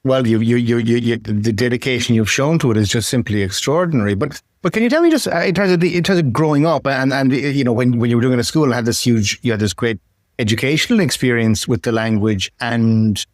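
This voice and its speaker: Man, interviewer, mid pitch, stumbling repetitions, dry close recording. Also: fast, no echo. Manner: stammering, repeats words while forming questions. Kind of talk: podcast interview.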